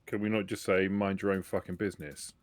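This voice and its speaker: A man's voice: low-pitched, flat delivery, brisk, no echo. A man chatting bluntly and grumbling a little as a player in a tabletop roleplaying game. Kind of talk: casual in-character game talk.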